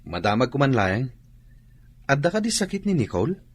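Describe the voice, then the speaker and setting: A man's voice: mid-range voice, very animated, moderate pace, breathy edge, dry recording. A male actor with an Ilocano accent playing a polite young suitor in a radio drama. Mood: polite, concerned.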